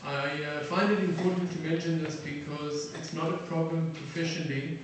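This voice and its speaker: A middle-aged man from South Africa giving a lecture to an audience, halting often with fillers and restarts. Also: on the high side, fairly even intonation. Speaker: defense attaché giving a lecture. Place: lecture hall. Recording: very echoey hall, from far off, faint noise.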